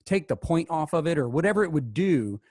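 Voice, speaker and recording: A man's voice: a little high, expressive, a little fast, a little mellow, no room sound, clean studio sound. A man hosting a casual conversation, enthusiastically praising the vocal recording.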